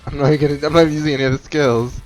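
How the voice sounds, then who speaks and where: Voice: mid-range voice, fairly even intonation, quick pace, darkish tone, rough recording. A gaming commentator talking casually, muttering to themselves while playing. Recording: game music behind the voice.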